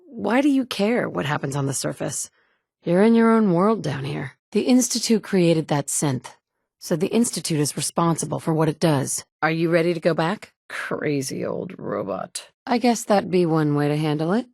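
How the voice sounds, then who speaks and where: A woman's voice: low voice, big pitch swings, moderate pace, creaky, darkish tone, no echo, exaggerated voice. An actress voicing a blunt, sarcastic woman in a game, a protective mother with a dry, no-nonsense manner. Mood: wry. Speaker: player character. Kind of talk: video game dialogue.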